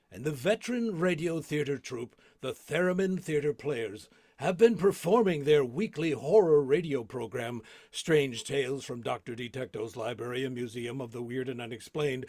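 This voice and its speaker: Casting: male theater presenter, very lively intonation, showman-like scripted introduction, dry close sound. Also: medium pitch, no room sound. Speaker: presenter and cast member.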